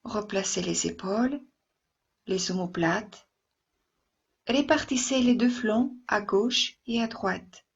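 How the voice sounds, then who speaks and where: A woman yoga instructor leading a guided relaxation meditation in a soft, soothing, gentle way, with long pauses between phrases. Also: medium pitch, creaky, husky, lo-fi sound.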